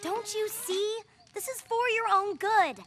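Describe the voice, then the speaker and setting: A woman's voice: extremely high pitch, unhurried, heavy background noise. A woman voicing a fussy, well-meaning cartoon friend who explains and coaxes. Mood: exasperated. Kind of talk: animated cartoon voice acting. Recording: loud music behind the voice.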